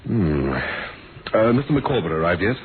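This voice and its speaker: An actor playing a courteous, quietly suspicious police inspector, questioning in a measured way in a radio mystery drama. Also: male voice, lowish voice, sing-song. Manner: measured, politely probing questioning. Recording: noisy, phone-like old radio broadcast recording.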